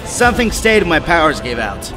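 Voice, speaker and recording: A resolute ex-hero, played by a man in a superhero audio drama, clever and defiant. His voice sits fairly high and moves animatedly, with loud music underneath. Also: big pitch swings, brisk.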